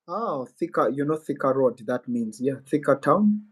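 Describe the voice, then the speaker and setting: A man's voice: mid-range voice, animated, normal speed, husky. A man with a Ugandan accent hosting a casual live-stream Q&A, rambling and musing aloud as he reads out viewer comments. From Western Uganda.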